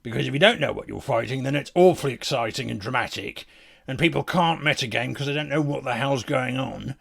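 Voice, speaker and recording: A man playing a battle-weary, proud fantasy warrior in a comic monologue, speaking in a slow, pompous, deliberate way. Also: medium pitch, gravelly, fairly bright tone, breathy edge, heavily acted. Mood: instructive, pompous.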